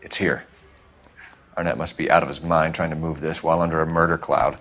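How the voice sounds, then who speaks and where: An actor playing an earnest, brisk American police detective in a 1940s crime video game, formal and clipped. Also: male voice, a bass voice, flat delivery, a bit bright, slight background noise. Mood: formal, probing.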